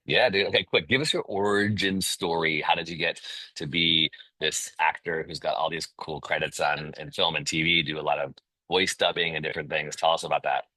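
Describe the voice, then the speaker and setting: A man's voice: a bass voice, fairly even intonation, a little fast, sharp tone, dry recording. A man hosting a casual online interview, upbeat and slangy as he promotes events and welcomes a guest over a video call. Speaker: host.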